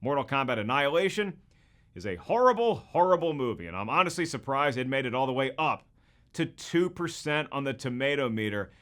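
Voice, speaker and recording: A man's voice: mid-range voice, sing-song, fairly quick, a bit bright. A man hosting scripted comedic commentary, with a wisecracking manner full of rhetorical questions. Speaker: host and comedian.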